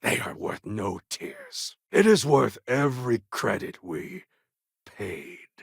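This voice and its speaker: A man's voice: medium pitch, huge pitch swings, takes it slowly, no room sound, clean studio sound, heavily acted. An actor playing a proud, menacing male warlord in a video game, speaking in a grave, formal and threatening way. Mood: stern. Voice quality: gravelly, husky.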